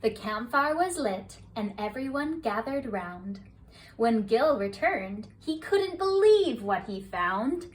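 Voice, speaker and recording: A woman reading a children's bedtime story aloud in a soft, rhythmic rhyming delivery. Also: fairly high pitch, very animated, slow pace. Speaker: storybook reader. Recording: distant mic, faint hiss.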